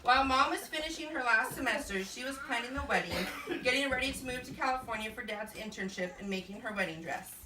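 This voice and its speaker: An American woman reads a homemade puppet show script to her relatives. Her voice sits fairly high. It comes from some distance away in an echoey room with clear background noise. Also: expressive, fast, few pauses. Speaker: puppet show narrator at a family reunion. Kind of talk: scripted storytelling, read aloud to relatives. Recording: echoey, noisy room recorded from far off.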